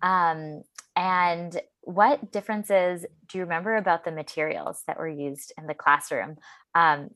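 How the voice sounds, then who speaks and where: A woman with a very low voice leads an interview, asking calm, measured questions. Her recording is dry and close, with no room sound around it. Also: no room sound.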